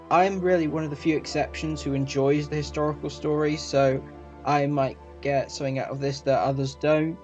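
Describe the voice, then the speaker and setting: Male reviewer, raised pitch, informal scripted delivery in broken phrases, lo-fi sound, soft music behind. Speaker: audio drama reviewer. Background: faint music.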